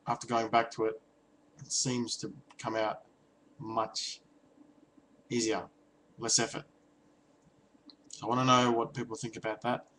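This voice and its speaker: A man's voice: on the low side, fairly bright tone, a little hiss or hum, rough recording. A young man casually vlogging about language learning, hesitant and reflective, with many restarts and ums. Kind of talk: casual talking-head vlog.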